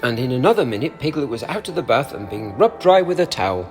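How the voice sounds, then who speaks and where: A man giving an expressive read-aloud narration of a children's storybook. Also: medium pitch, fast, soft background music. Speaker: storybook reader.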